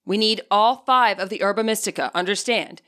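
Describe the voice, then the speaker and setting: Blunt teen boy read by a woman, terse, insistent, forceful edge, for story narration. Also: medium pitch, brisk, lightly acted. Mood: demanding.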